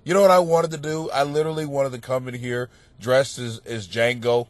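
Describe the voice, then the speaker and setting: A man and comic hosting a podcast, with a stuffed-up nose, telling stories in a profane, animated rant that breaks into shouting. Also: medium pitch, brisk, very noisy. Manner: animated, swearing, bursts into shouting. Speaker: stand-up comic and podcast host. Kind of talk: profane comedic rant monologue.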